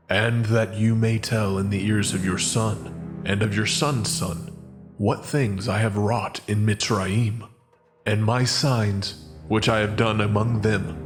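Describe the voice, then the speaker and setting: A man playing a commanding, solemn God in a dramatized scripture reading, speaking deliberately. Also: fairly low pitch.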